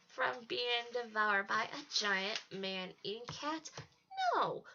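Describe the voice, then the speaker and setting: A woman's voice: high voice, sharp tone, slight background noise, full character voice. A woman voicing a vain, melodramatic young storybook queen in a theatrical, emphatic manner. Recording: dull lo-fi home recording.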